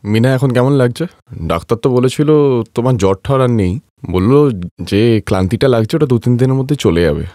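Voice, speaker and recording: A man's voice: mid-range voice, animated, quick pace, warm tone, dry recording, studio quality, lightly acted. A man voicing a caring, reasonable husband worried about his sick wife. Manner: concerned, reasoning.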